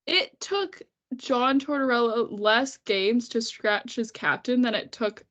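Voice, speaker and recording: A woman co-hosting a casual podcast, congested and sniffly with a cold, chatting quickly with frequent laughs and sarcastic asides. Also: fairly high pitch, fairly bright tone. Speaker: podcast co-host. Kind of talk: casual chat about hockey.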